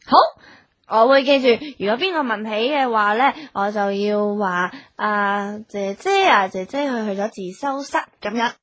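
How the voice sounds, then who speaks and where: A woman's voice: medium pitch, no echo, natural voice. A woman playing a bossy, scolding young woman in a radio comedy drama, with a Hong Kong Cantonese accent and an emphatic, nagging delivery. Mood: meek. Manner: emphatic, nagging, drawn-out sentence particles. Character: bossy, scolding young woman working as a strict driver-assistant.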